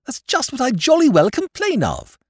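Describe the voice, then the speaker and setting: A petulant young nobleman shrieks his grievances in a high, squealing register. His tone swings wildly, full of wounded upper-class indignation and dated slang. It sounds close and dry, like a storybook reading. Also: huge pitch swings, normal speed, a little mellow, slightly rough, dry recording, heavily acted. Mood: ranting. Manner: shrill, aggrieved, old-fashioned upper-class slang.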